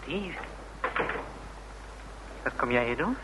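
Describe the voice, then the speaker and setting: An actor playing an anguished, cornered younger brother in a radio play, agitated, defensive and halting. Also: male voice, medium pitch, lively intonation, sharp tone. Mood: uneasy. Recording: noisy old radio drama recording.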